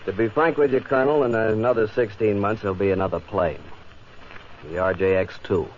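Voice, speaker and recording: An actor playing a blunt, resigned civilian official in a 1950s radio drama, arguing in a measured, matter-of-fact way. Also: male voice, on the low side, steady tone, lightly acted. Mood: resigned, insistent. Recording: dull, hissy 1950 radio recording.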